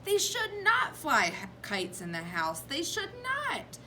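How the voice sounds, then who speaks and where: A woman's voice: high-pitched, wildly swooping pitch, slow, sharp tone, a little hiss or hum, voice pushed up, exaggerated voice. A woman voicing an anxious, fretful pet fish in a storybook, scolding and exclaiming urgently.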